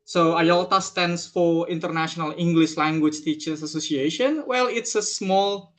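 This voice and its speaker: A man from Indonesia, an English teachers' association ambassador, welcoming people to an online webinar in a friendly, somewhat halting way. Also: a little high, fairly bright tone. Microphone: video call.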